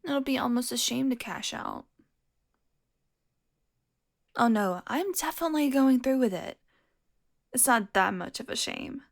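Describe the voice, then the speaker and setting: A woman's voice: fairly high pitch, lively intonation, moderate pace. A woman playing a teasing, flirtatious, sardonic and foul-mouthed vampire in an audio roleplay, speaking straight to the listener. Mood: smug, teasing. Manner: sardonic, teasing, breathy asides.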